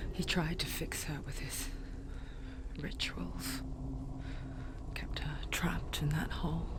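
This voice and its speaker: A hushed female storyteller in a dark fantasy game, whispering slowly. She is intimate and ominous, knowing and measured, keeping her tone very even. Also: deep voice, gravelly, heavily acted. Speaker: narrator. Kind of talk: hushed scripted storytelling narration.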